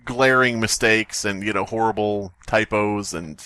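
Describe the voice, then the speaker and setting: A man's voice: lowish voice, fairly even intonation, unhurried, sharp tone, clean tone, no room sound, studio quality. A man hosting a comedy podcast, chatting casually and riffing on a story with mock-dramatic jokes. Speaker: podcast host.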